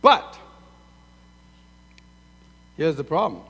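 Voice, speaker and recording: Animated preacher in an echoey hall. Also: male voice, fairly high pitch, very slow, husky. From Sudan. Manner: animated, acts out both sides of dialogues. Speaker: pastor. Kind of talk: sermon. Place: church sanctuary.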